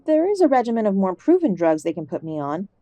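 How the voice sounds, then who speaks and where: A woman acting an ailing older mother in an audio drama, gentle and affectionate, old-fashioned and stubbornly optimistic, fond of endearments. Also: mid-range voice, sing-song, fast talker, no echo, highs cut off, lightly acted. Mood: measured, serious. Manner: gentle, fussing, uses endearments.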